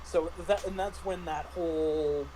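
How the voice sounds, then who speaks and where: A man in a casual panel discussion about roleplaying games, speaking haltingly as he thinks aloud. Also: a little high, fairly even intonation, mellow, a little hiss or hum. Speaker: tabletop game master and podcast panelist.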